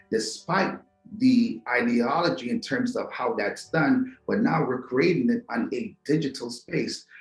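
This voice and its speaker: Preachy man from Trinidad and Tobago, echoey room. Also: mid-range voice. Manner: preachy, rhetorical questions, repeats words. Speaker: podcast host. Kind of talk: philosophical monologue to viewers.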